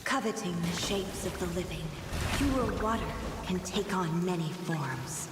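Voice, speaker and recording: Echoing, haughty water spirit. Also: female voice, on the low side, expressive, measured pace, recorded from a distance, very noisy. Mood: solemn menace. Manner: solemn, theatrical, formal. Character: haughty, menacing female water spirit guarding her waters. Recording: echoing game audio under loud music. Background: loud game music.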